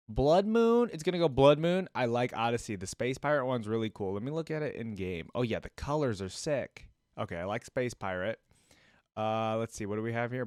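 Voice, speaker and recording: A man's voice: medium pitch, no room sound, studio quality. A man hosting a reaction video, thinking aloud and talking to viewers in an informal, slangy, chatty way.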